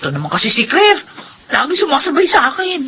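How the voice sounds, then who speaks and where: A young Filipino mother in a radio drama, high and bright with noise behind her. She is a fretful older sister, plaintive and easily irritated. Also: huge pitch swings, fast talker, full character voice.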